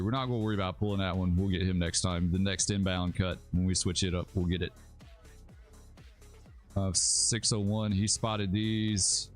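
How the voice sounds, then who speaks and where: A man from Alabama, casually commentating his live stream, rambling and chatting with viewers. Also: sits low. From Alabama, USA. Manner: laid-back, rambling, chats with viewers, says dude and bro. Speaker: livestreaming gamer host.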